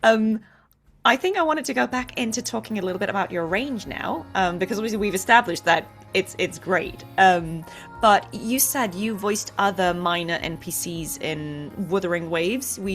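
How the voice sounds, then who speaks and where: A woman's voice: lowish voice, normal speed, a bit bright, faint music behind. A woman hosting a casual interview between voice actors, chatty and playful in the way she talks.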